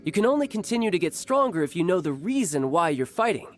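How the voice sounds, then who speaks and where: A man's voice: high voice, very animated, fast talker, soft background music. A man voicing a playful, battle-hungry young military commander in game dialogue, confident and teasing. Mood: earnest. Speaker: Harbinger commanding recruits.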